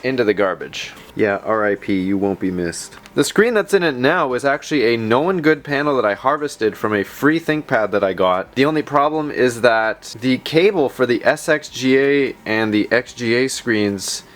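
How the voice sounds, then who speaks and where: A man's voice: mid-range voice, expressive, normal speed, few pauses, fairly bright tone. Chatty man narrating a casual vlog. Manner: chatty, self-deprecating, rambling asides. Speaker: host of a tech video.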